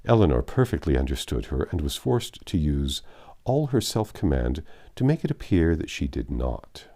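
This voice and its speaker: A man's voice: very deep voice, very animated, normal speed, dark tone. A man narrating a classic novel aloud as an audiobook reader.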